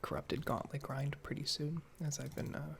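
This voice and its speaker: Whispering, very creaky man, slightly nasal. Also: fairly high pitch, fairly even intonation, normal speed, slight background noise. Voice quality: hushed, breathy, mostly whispered. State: slightly nasal while getting over a cold-like illness. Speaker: gaming ASMR video creator. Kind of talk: soft-spoken, whispered ASMR gaming ramble.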